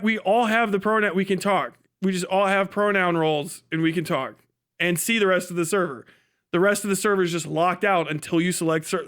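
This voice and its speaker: High-pitched mocking man, bright and excitable. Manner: mocking, sarcastic; profane, giggly, excitable. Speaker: livestream host. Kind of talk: casual commentary reacting to a video.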